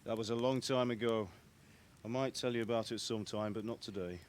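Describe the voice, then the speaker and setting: An actor playing a middle-aged village blacksmith, a stern and cautious father with a measured, plain-spoken manner in a game cutscene. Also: on the low side, steady tone, slight background noise, lightly acted. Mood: weary, cautionary. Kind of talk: dramatic game cutscene acting.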